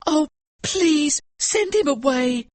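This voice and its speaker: A man voicing a frightened, jealous little boy in a storybook reading, with high pleading cries. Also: lively intonation, takes it slowly, no room sound, exaggerated voice. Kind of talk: audiobook reading.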